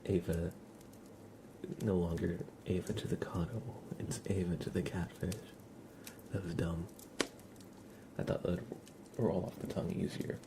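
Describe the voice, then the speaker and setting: A man hosting an ASMR livestream, chatting playfully and softly with viewers, often slipping into whispers and rambling as he goes. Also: low-pitched, flat delivery, measured pace, long pauses, noisy background. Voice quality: soft, often breaking into whispers. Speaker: livestream host. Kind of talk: ASMR livestream chat, reading and answering viewer comments.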